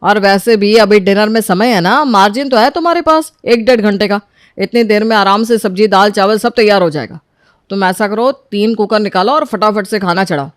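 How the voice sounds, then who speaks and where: A woman voicing a bossy, sarcastic young husband in an animated story, scolding his wife. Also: mid-range voice, moderate pace, dry recording, clean studio sound, lightly acted.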